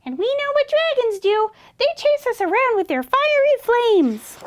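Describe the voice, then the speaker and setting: A woman voicing a tiny, bossy mouse with a squeaky, scolding, exclamatory delivery for a storybook. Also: very animated, moderate pace. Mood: indignant.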